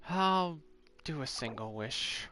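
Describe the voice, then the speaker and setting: Bright-voiced man with lively swings in pitch. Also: slow pace, a little hiss or hum. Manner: talks aloud to game characters. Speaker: game streamer. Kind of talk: casual gameplay commentary.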